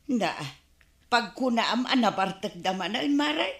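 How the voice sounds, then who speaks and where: A woman's voice: on the high side, expressive, unhurried, gravelly, fairly bright tone, heavily acted. A woman playing a worried, fretful village wife in an Ilocano radio comedy drama, with an Ilocano Filipino accent. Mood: indignant. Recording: roomy, echoing studio sound.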